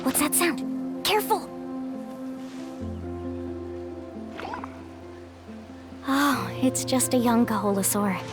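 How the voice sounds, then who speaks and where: Woman acting as upbeat young female guide, high pitch, lively swings, confident and caring, animated game voice over music. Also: moderate pace, close to an everyday voice. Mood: cautious, concerned. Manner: encouraging, cheerful, expressive.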